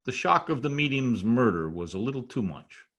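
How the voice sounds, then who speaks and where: Suspicious gentleman of the house, radio crime drama, male actor: medium pitch, stiff courtesy, guarded control. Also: big pitch swings, normal speed. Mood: guarded, cool. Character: formal, guarded husband, a suspicious armed householder. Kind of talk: old-time radio drama acting. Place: home recording over video call.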